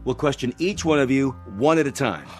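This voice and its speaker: An actor playing a stern, suspicious police officer in a radio play, curt and commanding. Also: male voice, mid-range voice, animated, fairly quick, faint music behind, lightly acted. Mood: stern questioning.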